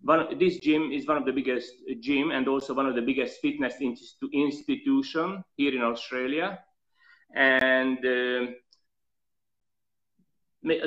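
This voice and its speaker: A man who is not a native English speaker, with a slight European accent, telling his life story earnestly in an interview and pausing often on fillers. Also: medium pitch, a bit bright, dull audio. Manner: earnest, reflective, halting with fillers. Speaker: guest.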